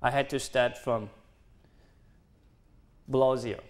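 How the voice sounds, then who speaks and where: A man in his twenties from South Sudan, a native Dinka speaker, giving an earnest university talk in halting, non-native English with restarts. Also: mid-range voice, fairly even intonation. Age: 20s. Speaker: guest speaker. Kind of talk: university lecture.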